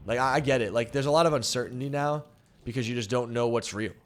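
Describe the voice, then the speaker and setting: A young man talking loosely as he streams, his voice at an ordinary middle pitch. He fills his sentences with "like" and swears without a second thought. A faint hiss and some clicking sit behind him. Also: fast. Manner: loose and frank, heavy "like" fillers, swears. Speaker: streamer and pro team coach. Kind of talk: casual chat while gaming on stream.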